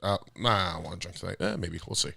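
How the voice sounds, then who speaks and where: A man's voice: deep voice, fast talker, vocal fry, bright, forceful tone, slightly rough, no echo, studio quality. A man from New York, co-hosting a podcast in loose, laid-back chat, laughing often and swearing casually. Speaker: podcast co-host.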